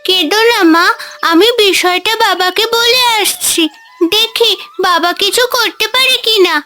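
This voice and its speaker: A shrill young village girl. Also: measured pace, pushed and bright, heavily acted. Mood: worried. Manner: anxious, caring. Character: young village girl Tumpa, worried daughter.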